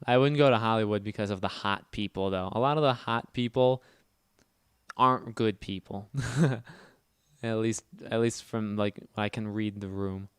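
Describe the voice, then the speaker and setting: A man hosting a casual gaming livestream, talking fast and loud with a nasal voice and rambling as he answers chat. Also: on the low side, smooth, no echo, clean studio sound. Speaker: livestream host.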